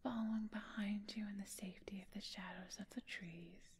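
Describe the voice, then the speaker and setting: A woman's voice: medium pitch, steady tone, a little fast, a little hiss or hum, lightly acted. A woman voicing a menacing, taunting female wraith in a fantasy audio roleplay, with a creepy, coaxing whisper. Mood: coaxing, sinister.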